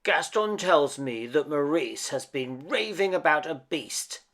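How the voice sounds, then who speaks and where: A man's voice: a little high, expressive, measured pace, fairly bright tone, pitched above the performer's own voice. Guy doing an officious storybook stranger, blunt, voice up a little. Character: strange officious man come for an asylum patient.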